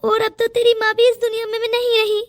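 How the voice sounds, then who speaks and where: A woman's voice: very high voice, completely flat delivery, brisk, no echo, studio quality. A woman voicing a guilt-ridden young friend, earnest and remorseful, in a cartoon melodrama. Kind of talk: melodramatic cartoon story acting.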